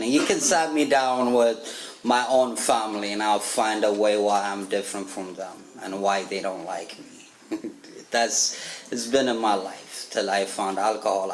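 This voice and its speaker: A man in his thirties from Ethiopia with an Ethiopian accent, telling his recovery story as a guest speaker at a meeting, joking and laughing often with halting restarts. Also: mid-range voice, poor audio. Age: 30s. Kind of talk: personal recovery story, casual chat. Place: church meeting room.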